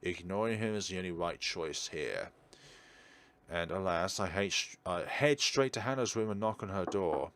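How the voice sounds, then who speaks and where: A man reading a visual novel aloud as a let's-play host, theatrical and drawn-out, with dry ad-libbed asides. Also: deep voice, a bit bright. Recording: prominent game music behind the voice. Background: video game music.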